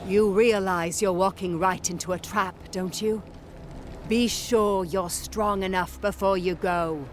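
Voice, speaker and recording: Fantasy game guide , female actor: cautious, earnest woman, mid-pitched, solemn delivery. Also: fairly even intonation, measured pace, slight background noise, lightly acted. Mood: grave, helpful. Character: earnest, cautious ally giving quest guidance. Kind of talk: video game cutscene acting.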